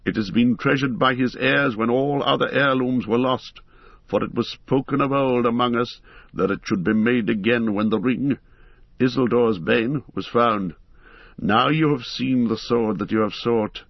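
A man's voice: mid-range voice, unhurried, dry recording, dull audio, pitched above the performer's own voice. A man reading Aragorn, weary and dignified. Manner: calm, dignified, earnest. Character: grave, weary man, heir of kings.

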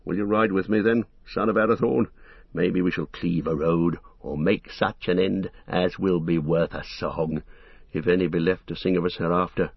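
A proud old king weighed down by doubt, his husky voice rising a little above the reader's own as he speaks with grave, stately solemnity, as a man puts him on. The storybook reading is dry and a little muffled. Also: low-pitched, moderate pace, dry recording, voice pushed down, heavily acted. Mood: resolute. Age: older. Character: aging troubled male king, proud, grave. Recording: dull lo-fi recording.

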